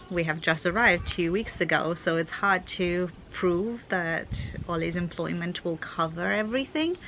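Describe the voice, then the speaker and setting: A young woman talks casually to her camera, her voice sitting a little low. She chats along, thinking aloud and restarting sentences as she goes. A faint hiss runs under the recording. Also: a bit bright. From Ukraine. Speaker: vlogger. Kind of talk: casual vlog chat to camera.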